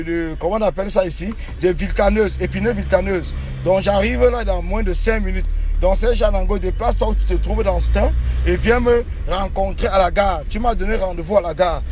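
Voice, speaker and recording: A man's voice: high voice, a little hiss or hum. A man from Cameroon livestreaming while driving through the city, boastful and defiant, repeating himself, on a phone mic. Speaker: live streamer. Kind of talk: live stream while driving. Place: inside a car in the city.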